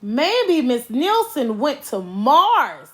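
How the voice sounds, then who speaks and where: Excitable high-pitched mischievous schoolkid voiced by a woman. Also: very slow, full character voice. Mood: speculating. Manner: exclaiming, eager. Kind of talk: children's storybook read-aloud.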